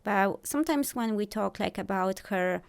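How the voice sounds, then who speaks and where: A woman in her thirties with a Polish accent, explaining her views calmly as a guest on a talk show. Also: mid-range voice, normal speed, gravelly, clean tone. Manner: thoughtful, explanatory, frequent "like" and "you know". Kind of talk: casual interview talk.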